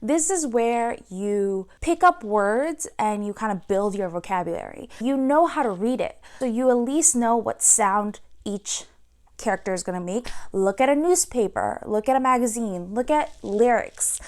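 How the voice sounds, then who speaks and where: A young woman giving casual to-camera advice on learning a language, chatty and animated, playfully acting out her own reactions. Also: a little high, moderate pace.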